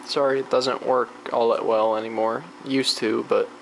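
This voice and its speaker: Gravelly casual voice over noisy machine hum. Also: mid-range voice, level tone, moderate pace. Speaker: hobbyist demonstrating vintage tape recorder. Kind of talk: casual off-the-cuff demonstration chat. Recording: clearly noisy home video, machine hum.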